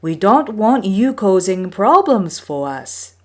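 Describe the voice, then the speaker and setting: A woman's voice: medium pitch, sing-song, takes it slowly, pitched above the performer's own voice. A woman voicing the scornful, exasperated older brothers in a storybook folktale, scoffing and dismissive.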